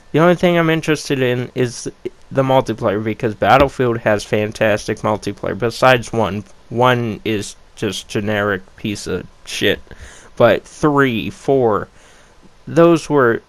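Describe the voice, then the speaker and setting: A young man ranking upcoming games in a casual vlog, blunt and rambling with a lot of swearing, his voice a little worn. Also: medium pitch. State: losing his voice. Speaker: gaming YouTuber.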